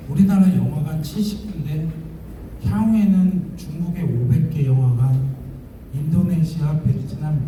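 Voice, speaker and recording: A man's voice: fairly high pitch, dark tone. Echoey, distant male presenter with sore throat. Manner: formal, polite, explanatory. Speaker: company chairman presenting at a business event. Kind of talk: business presentation to an audience. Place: event venue, public address to an audience. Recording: very echoey hall, distant, noisy.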